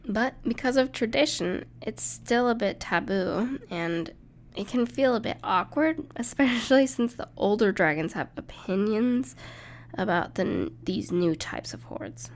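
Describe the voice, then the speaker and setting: Soft-hearted female dragon companion played by a woman, higher-pitched with noticeable fry, tender and playful, for intimate roleplay audio. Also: lively intonation, a little slow. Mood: bashful explaining. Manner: tender, reassuring, playful, slightly hesitant. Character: gentle, cuddly, bashful female dragon in human form, plushie lover.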